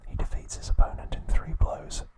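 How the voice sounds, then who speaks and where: A man narrating ASMR in a hushed, breathy whisper, in short measured phrases. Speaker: ASMR host. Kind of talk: whispered ASMR narration, recounting episode summaries.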